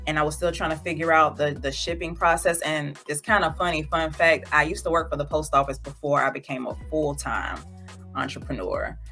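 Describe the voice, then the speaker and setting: A woman from Georgia in the United States, a visual artist who is a podcast guest, telling stories in a relaxed, chatty way with casual slang. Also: low voice, brisk, creaky, soft background music. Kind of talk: casual interview.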